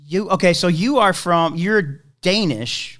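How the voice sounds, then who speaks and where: A man's voice: sits high, a little slow, fairly bright tone. A man hosting a casual interview podcast, friendly, asking questions with frequent stumbling restarts.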